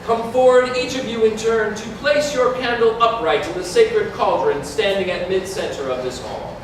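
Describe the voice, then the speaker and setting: A man's voice: high-pitched, normal speed, close to an everyday voice. A man playing an exalted officiant of a mystical wedding, reciting solemn ceremonial verse in a declamatory way. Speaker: actor in a staged reading. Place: large community hall, staged reading. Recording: echoey hall from far off, noisy.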